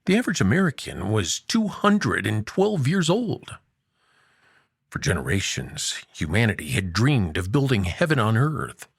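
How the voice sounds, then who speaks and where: A man hosting a story show and narrating a science fiction tale, expressive, dropping to hushed low lines and lifting for emphasis. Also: measured pace, creaky, husky, no echo, studio quality. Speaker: host and narrator.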